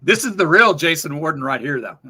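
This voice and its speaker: A man's voice: on the high side, sing-song, a little fast, bright, forceful tone, slightly rough. A man co-hosting a casual podcast who cuts in with dry teasing jokes. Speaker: podcast co-host. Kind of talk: casual chat.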